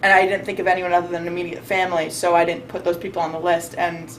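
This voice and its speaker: A woman's voice: on the low side, completely flat delivery, quick pace, a little hiss or hum, low-quality recording. A young woman, a recent bride from New York state, giving casual interview advice in a chatty way with frequent restarts. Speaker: recently married bride, guest.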